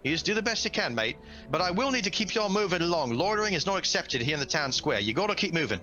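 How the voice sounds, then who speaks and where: A man's voice: sits high, very animated, fast, pushed and bright, faint music behind, voice pushed up, heavily acted. A man playing a stern, weary town guard captain with a brusque manner in a tabletop game. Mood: weary, firm.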